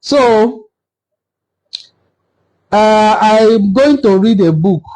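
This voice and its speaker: A man's voice: sits high, expressive, extremely slow, darkish tone, poor audio. A man from Nigeria with an Igbo-language accent, speaking as the host of an informal, impassioned history talk in an assertive, argumentative way with emphatic repetition.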